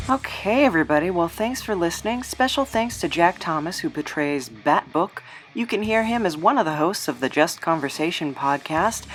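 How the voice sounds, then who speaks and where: Lady podcast host, kinda low voice, loose improv interview chat, playfully earnest. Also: fairly bright tone.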